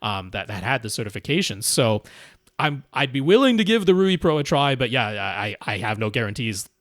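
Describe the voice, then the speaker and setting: A man's voice: medium pitch, very animated, fairly quick, a bit bright, dry recording, studio quality. A man hosting a podcast, explaining gear problems in a casual, explanatory way and quoting people in asides. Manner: explanatory, quotes people in asides, frequent restarts. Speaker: podcast host giving home theater advice.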